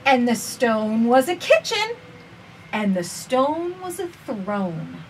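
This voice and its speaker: Lady doing storytime for kids, slow deliberate reading, very up and down voice, camera mic a bit far off outside. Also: fairly high pitch, big pitch swings. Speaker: children's storytime reader. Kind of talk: expressive picture-book read-aloud with asides. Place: outdoors on a house porch. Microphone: camera a few feet away. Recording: distant camera mic, faint outdoor noise.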